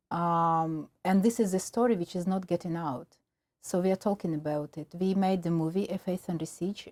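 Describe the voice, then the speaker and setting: Ukrainian woman guest, lowish voice, halting interview answers full of restarts. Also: mellow, no room sound. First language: Russian. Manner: halting, many fillers and restarts. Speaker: film producer and guest.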